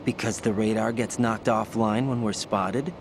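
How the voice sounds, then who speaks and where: A man's voice: on the low side, steady tone, normal speed, noisy background, lightly acted. A man playing an earnest, unsure young rookie agent in a video game cutscene, with a questioning manner.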